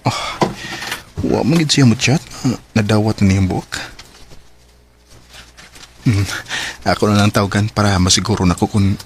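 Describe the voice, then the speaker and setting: An actor with a Cebuano Filipino accent playing an eager, easily hurt young suitor in a radio drama, earnest and emotional. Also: male voice, fairly low pitch, steady tone, moderate pace, long pauses, lightly acted. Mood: hopeful. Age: young adult.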